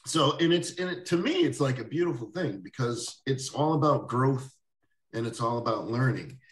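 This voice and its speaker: A man hosting a casual interview, rambling a little, with restarts and soft laughs. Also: medium pitch, lively intonation, normal speed. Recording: roomy echo, distant mic.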